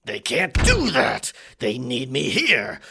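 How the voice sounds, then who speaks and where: A man playing a boisterous, rum-swigging pirate captain in a roleplay audio drama, with a gravelly voice, theatrical yet hesitant, muttering in pirate slang. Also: medium pitch, wildly swooping pitch, slow pace, sharp tone, heavily acted. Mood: worried bluster.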